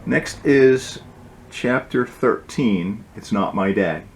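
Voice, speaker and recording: A man reading his own storybook aloud as its author, in an informal way with occasional halting asides. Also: medium pitch, animated, slight background noise.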